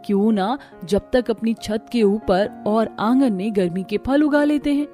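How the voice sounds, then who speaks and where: A woman voicing an eager, thrifty young Indian daughter-in-law in a family cartoon, chatty and animated. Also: fairly high pitch, measured pace, soft background music, natural voice. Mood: excited, eager. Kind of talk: Hindi family cartoon dialogue.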